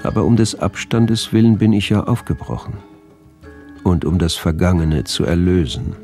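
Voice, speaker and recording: Radio drama: brooding, grandiose male doctor, deep voice, formal measured diary monologue, faint music underneath. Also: mellow. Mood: solemn, lofty.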